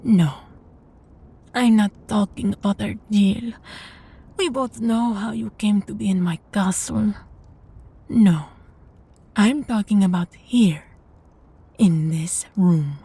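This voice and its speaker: Casting: female actor for a sadistic vampire mistress, unhurried and darkly mellow, possessive and condescending, intimate audio roleplay. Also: medium pitch, exaggerated voice. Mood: quietly threatening. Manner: slow, teasing, condescending, often dropping to a breathy whisper.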